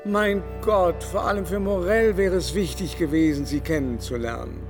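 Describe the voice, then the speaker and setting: A vain ageing aristocrat in a radio drama, his voice a little high. He holds forth with mannered, worldly condescension. Music runs softly with the voice. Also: moderate pace. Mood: gushing. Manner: pompous, mannered, lecturing. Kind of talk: German radio play acting.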